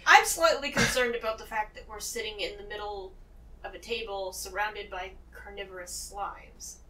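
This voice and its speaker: A woman's voice: mid-range voice, moderate pace, pushed and bright. A woman chatting casually as a player at a tabletop game. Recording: roomy, distant mic with background noise.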